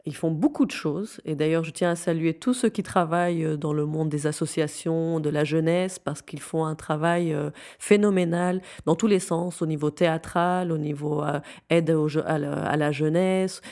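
A woman's voice: low voice, normal speed, a little mellow. A woman speaking earnestly and emphatically as an interview guest, conversational and often repeating words for stress. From Syria. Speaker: interview guest, founder of a youth non-profit.

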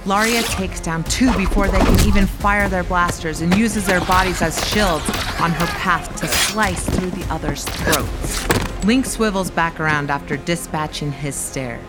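A woman's voice: fairly low pitch, measured pace. A woman narrating a scripted science-fiction audio drama in a dramatic storytelling style. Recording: music under the narration. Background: dramatic music.

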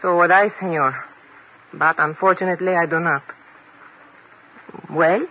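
A woman's voice: fairly low pitch, fairly even intonation, a little slow, phone-quality sound, natural voice. Old noisy radio recording, actress as cool female seller of secrets, espionage drama. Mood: guarded. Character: cool mysterious woman who trades in secrets. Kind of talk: 1950s radio drama acting.

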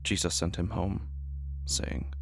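A man with a gravelly voice reading scripted announcements and narration for a horror podcast. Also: deep voice, slow, a little hiss or hum. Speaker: podcast creator, host and announcer.

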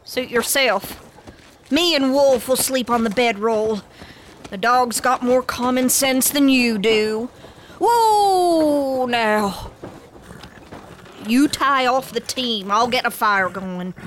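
No-nonsense frontier wife, high-pitched, unhurried pace, rural American accent, bossy folksy scolding, radio drama. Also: female voice, slight background noise. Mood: firm, dismissive. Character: gutsy, no-nonsense frontier settler wife, Audrey.

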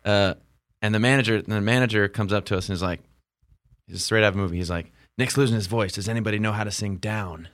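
A man's voice: fairly low pitch, fairly even intonation, fast talker, dry recording, clean studio sound. A man, a musician guest on a podcast, telling a story in a rambling, laid-back way with lots of fillers. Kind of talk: casual storytelling.

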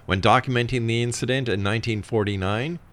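A man's voice: mid-range voice, fast. A man presenting a radio talk show with an emphatic announcer's delivery in short phrases.

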